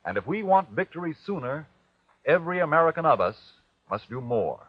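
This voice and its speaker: A man as an earnest, insistent wartime radio pitchman, exhorting listeners with emphatic rhetorical questions. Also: big pitch swings, a little fast, dry recording. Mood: earnest, urgent. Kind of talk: 1940s radio announcer appeal. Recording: dull, dry old radio recording.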